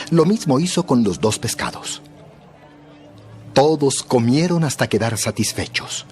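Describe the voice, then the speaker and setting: A man narrating a dramatized Bible story in a measured, expressive storytelling style. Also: mid-range voice, a little hiss or hum.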